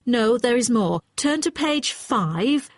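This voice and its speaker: A woman's voice: fairly high pitch, animated, slow, dry recording, studio quality. A woman playing an exacting, matter-of-fact hotel client in a scripted listening-test dialogue. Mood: businesslike, firm. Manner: formal, precise, matter-of-fact. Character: brisk, exacting female hotel client requesting brochure revisions.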